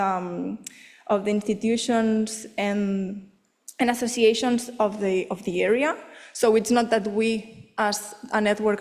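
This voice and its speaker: A woman presents at a symposium and then answers audience questions, in a middle-pitched voice that often stops for fillers and hedges. The sound carries a long reverb from a large conference hall. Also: darkish tone. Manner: hesitant, many fillers and "like".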